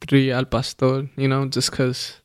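A man's voice: mid-range voice, monotone, a little slow, smooth, dry recording, studio quality. A man chatting casually as a podcast co-host, laid-back and slangy, laughing often. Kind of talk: casual chat. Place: Los Angeles.

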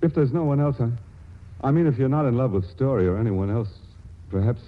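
An actor playing an earnest, awkward, lovesick suitor in a 1940s radio drama, halting and stammering as he adores and pleads. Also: medium pitch, lively intonation, natural voice. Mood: tentative. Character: earnest, awkward, lovesick scientific young suitor, hesitant and adoring. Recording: tinny, band-limited 1940s radio broadcast recording.

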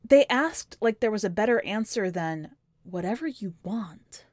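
A woman narrating literary fiction from a script, with measured, deliberate phrasing in short clipped sentences. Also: medium pitch, animated, darkish tone, no echo, studio quality. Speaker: podcast host reading a short story.

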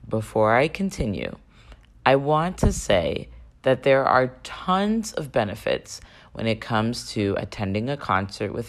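A woman talks alone as the host of her own podcast, her voice a little raspy. She speaks in a chatty, conversational way and often turns to her listeners with questions. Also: rumbling low. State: slightly raspy voice. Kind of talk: solo podcast monologue.

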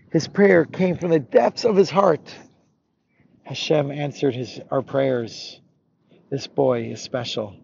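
A man voicing a gentle, revered wise rabbi who speaks calmly and reassuringly in a folk tale. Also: medium pitch, natural voice. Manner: calm, reassuring. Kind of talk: spoken religious folk tale. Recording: noisy dull recording.

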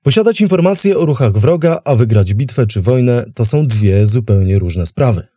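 A man hosts with scripted documentary narration. His voice is mellow and mid-pitched, captured close and dry with no audible room. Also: animated, moderate pace, no room sound. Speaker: host and narrator.

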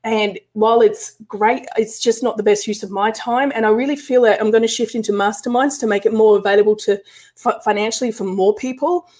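A woman's voice: fairly high pitch, fairly even intonation, fairly quick, darkish tone. A woman spiritual business coach talking casually to her online group in a live stream, with lots of restarts and fillers. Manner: chatty, frequent restarts and fillers.

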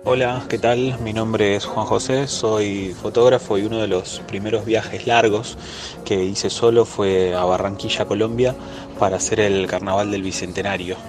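A man's voice: on the low side, steady tone, normal speed, music in the background. Hesitant man with many restarts. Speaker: photographer. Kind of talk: casual testimonial.

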